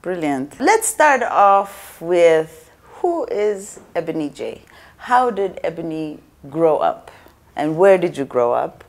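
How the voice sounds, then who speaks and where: Lady host, lowish voice, upbeat and encouraging. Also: very animated, slow. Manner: upbeat, encouraging, brief affirmations. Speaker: talk show host. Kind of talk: interview hosting.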